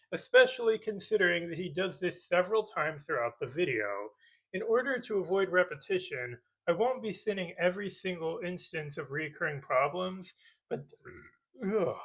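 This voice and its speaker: A man's voice: high-pitched, expressive, moderate pace, a bit bright, husky, low-quality recording. A man delivering a scripted comedic rebuttal in a sarcastic, mock-serious way, as a YouTube commentator. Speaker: YouTube commentator parodying movie critique videos.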